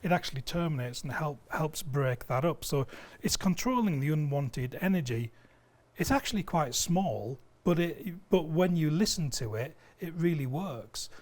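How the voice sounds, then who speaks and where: British man in his fifties, halting but keen. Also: fairly high pitch, lively intonation. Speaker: company sales representative, guest. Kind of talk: conversational product explanation. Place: indoor audio showroom. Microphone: lapel mic.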